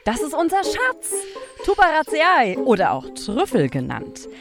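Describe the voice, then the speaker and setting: A woman's voice: high-pitched, huge pitch swings, measured pace, music in the background. A woman co-hosting a children's science podcast, playful and explanatory in a scripted audio play. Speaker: podcast co-host.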